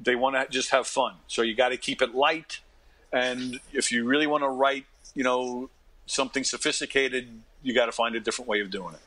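A fifty-year-old man, chatting casually as an interview guest and telling stories in an animated, conversational way. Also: medium pitch, brisk, rough recording. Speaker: interview guest, actor and comedian. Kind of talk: casual interview anecdotes and advice.

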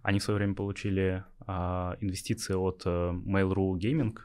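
Deep-voiced young man, hesitant. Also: little pitch movement, normal speed, darkish tone, clear, resonant tone. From Yakutia, Russia. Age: young adult. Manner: hesitant, many filler sounds, laughs easily. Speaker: startup founder, interview guest. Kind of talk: interview answers.

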